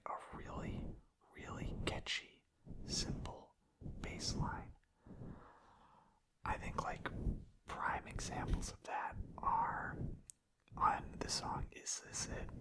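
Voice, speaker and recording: Man whispering a rambling ASMR monologue. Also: poor audio. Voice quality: breathy unvoiced whisper. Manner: hesitant, meandering, casual. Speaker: ASMR creator.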